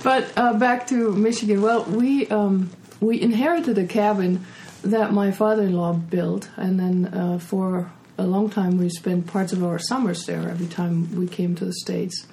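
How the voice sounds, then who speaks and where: A woman's voice: medium pitch, fairly even intonation, measured pace, hardly any pauses, warm tone, poor audio. A woman from Germany with a German accent, casually telling personal stories as an interview guest and author.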